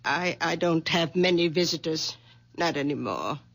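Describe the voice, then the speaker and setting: An actress playing a shy, sensitive older widow in a radio drama, speaking in a timid, halting way. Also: female voice, low-pitched, expressive. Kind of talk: 1970s radio drama acting.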